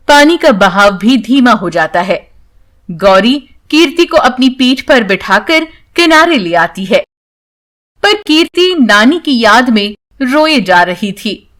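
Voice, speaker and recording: A woman narrates a children's story in a high-pitched voice. She takes it slowly, delivering scripted lines as the storyteller. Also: fairly bright tone.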